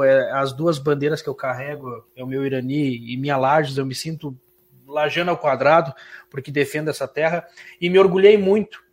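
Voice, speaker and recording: A man with a southern Brazilian gaúcho accent, talking as an interview guest. His voice sits a little high for a man. He tells his story in a heartfelt, emotional way. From Santa Catarina, Brazil. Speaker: musician guest. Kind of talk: interview conversation.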